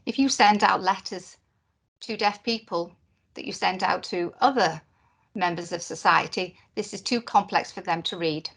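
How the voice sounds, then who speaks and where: Woman, mid-range pitch, live voiced interpretation, short halting phrases, pauses, fillers. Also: fairly bright tone. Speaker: sign language interpreter voicing a deaf presenter.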